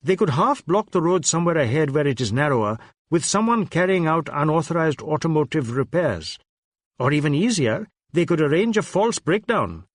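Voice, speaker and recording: A man's voice: a little high, big pitch swings, moderate pace, no echo, studio quality. A man voicing an earnest, talkative Indian man who complains matter-of-factly, as character dialogue in an audiobook. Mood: earnest complaining.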